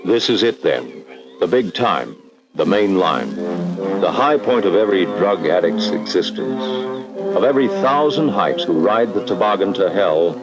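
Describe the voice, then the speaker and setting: Deep, dramatic American male narrator. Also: sing-song, a little slow, poor audio. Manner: grave, ominous, emphatic. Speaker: narrator of an educational film. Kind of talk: dramatic scripted 1960s documentary narration. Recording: vintage 1960s film soundtrack. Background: dramatic background music.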